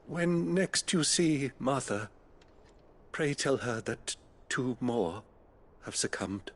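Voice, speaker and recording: A man acting a solemn, kindly abbot in a video game, speaking formally and gently. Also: mid-range voice, lively intonation, takes it slowly, a little hiss or hum. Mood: solemn, consoling.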